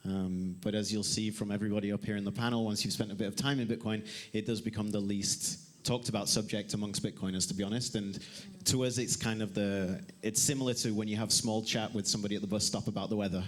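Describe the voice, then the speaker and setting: A man's voice: lowish voice, fairly even intonation, fast talker, hardly any pauses. A man on a conference panel talking in a chatty, conversational way with casual asides. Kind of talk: panel discussion.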